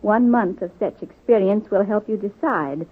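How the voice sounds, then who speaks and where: An actress playing a kindly but exacting superintendent of nurses in 1940s radio drama style, speaking formally and deliberately. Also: female voice, medium pitch, slightly rough, lightly acted. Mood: measured, instructive. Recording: muffled phone-like vintage radio recording.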